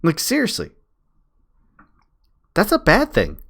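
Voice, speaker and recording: A man's voice: fairly high pitch, huge pitch swings, slow pace, vocal fry, fairly bright tone. A man arguing casually and emphatically, repeating his points as in an online debate. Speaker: streamer and debater. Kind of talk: casual argumentative debate talk.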